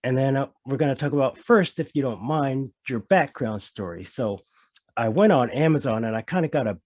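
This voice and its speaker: A man's voice: medium pitch, animated, a little fast, darkish tone, no echo. Enthusiastic man hosting a podcast, dry sound. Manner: enthusiastic, encouraging, repeats himself. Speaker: podcast host. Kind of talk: casual interview hosting.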